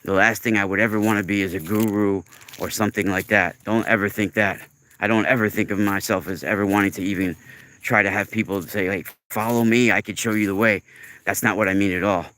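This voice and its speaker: Deep-voiced man in his forties, bright and rambling. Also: fairly even intonation, brisk. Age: 40s. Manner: earnest, emphatic, rambling. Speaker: livestream host. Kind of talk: casual livestream monologue.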